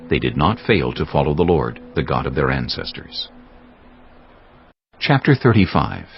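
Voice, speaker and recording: A man narrating dramatized scripture in a solemn, deliberate, scripted style. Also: low-pitched. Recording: slightly dull audio with music bed.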